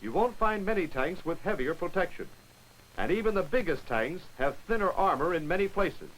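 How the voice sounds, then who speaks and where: A man giving brisk, wry scripted narration for a 1940s military training film, on an old film soundtrack. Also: high-pitched, lively intonation, fairly bright tone, a little hiss or hum, rough recording.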